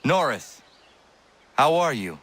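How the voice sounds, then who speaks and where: A man playing a reserved young assassin in a video game, speaking in stiff, measured, formal phrases. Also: medium pitch, very animated, fairly bright tone, husky, exaggerated voice. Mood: friendly, calm.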